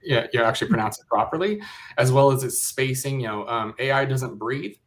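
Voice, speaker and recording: A man on a panel explaining his craft in an informal, halting, wry way. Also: mid-range voice. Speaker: audio drama creator, panelist.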